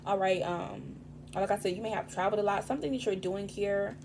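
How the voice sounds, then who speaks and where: A woman reads tarot cards in a loose, rambling way, swearing often and leaning on street slang. Her voice is raspy this morning and she talks quickly. A steady hum and background noise sit under the recording. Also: medium pitch. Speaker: tarot reader. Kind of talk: casual tarot reading.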